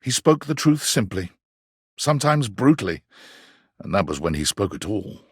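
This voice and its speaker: An actor playing a florid, pompous male storyteller in a video game, narrating dramatically with arch wit and savored pauses in a husky voice. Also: fairly low pitch, big pitch swings, no room sound, studio quality. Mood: wry, arch. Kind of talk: theatrical scripted narration.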